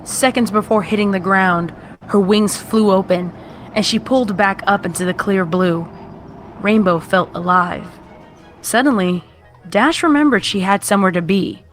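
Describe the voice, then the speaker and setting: A woman's voice: medium pitch, moderate pace. A woman in her twenties narrating a scripted story as a reader of fan fiction. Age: 20s. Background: background music.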